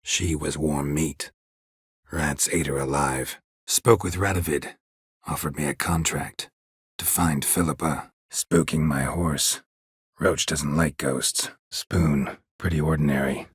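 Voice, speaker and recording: A world-weary video game monster hunter with an extremely low, husky voice. He speaks in terse, deadpan lines, stoic and dryly sardonic, recorded close and dry with no room around him. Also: takes it slowly, no echo, exaggerated voice. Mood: grim. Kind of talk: video game character dialogue.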